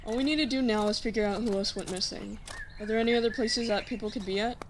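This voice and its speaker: A woman's voice: fairly high pitch, quick pace, background noise, close to an everyday voice. A woman playing a serious, caring young human child in an audio drama, with a plain, earnest way of talking. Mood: worried.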